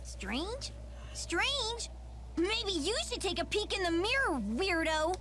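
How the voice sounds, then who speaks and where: A woman voicing a squeaky, bratty floating plush creature in a dubbed game cutscene, shrill and indignant. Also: expressive, slow, noisy background, exaggerated voice.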